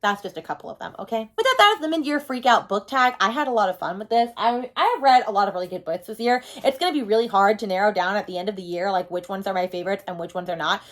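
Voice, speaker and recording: A woman's voice: medium pitch, animated, fast, few pauses, a bit bright. A woman book vlogger chatting casually to camera in a gushing, emphatic, slangy way with dramatic exaggeration. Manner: slangy, informal with frequent "like" and "okay".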